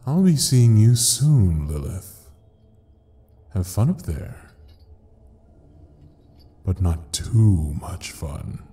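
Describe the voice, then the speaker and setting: An actor playing a suave, menacing devil in an audio roleplay, speaking in a dark velvety voice with courtly, teasing deliberation. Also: male voice, sits low, very animated, drawn-out words, long pauses, exaggerated voice. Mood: smooth, magnanimous. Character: suave, menacing male devil ruling a frozen hell. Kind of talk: ASMR audio roleplay acting.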